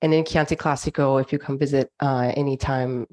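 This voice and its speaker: A woman's voice: extremely low pitch, fairly even intonation, brisk, darkish tone. A woman from California with a Californian accent, giving a slide lecture on a video call for a winery, chatty with frequent ums and uhs. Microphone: video call microphone.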